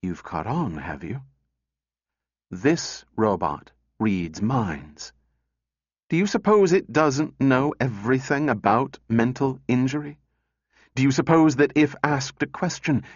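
A man's voice: deep voice, slightly rough, full character voice. A man voicing a sardonic, overwrought woman scientist in a storybook reading, sneering and intense. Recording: echoey room, dull muffled sound.

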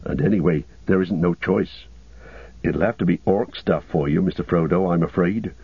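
Loyal hobbit manservant, played by a male reader, humble, homely, practical, husky, in a dull storybook recording. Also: deep voice, normal speed, dry recording. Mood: steady, caring. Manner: deferential, homely rustic phrasing.

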